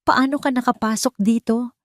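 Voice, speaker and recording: A woman's voice: on the high side, steady tone, normal speed, no echo, clean studio sound, pitched above the performer's own voice, lightly acted. A woman voicing a wounded, weary young mother, bitter and guarded yet defiant, in an audio drama. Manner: pained, guarded.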